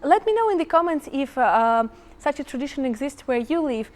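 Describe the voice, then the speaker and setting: Chatty high-voiced woman vlogging outdoors. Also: sing-song, a little fast, a little mellow. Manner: chatty, enthusiastic, addresses viewers as guys. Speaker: travel vlogger. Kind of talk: casual travel vlog to camera. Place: outdoors in a small town.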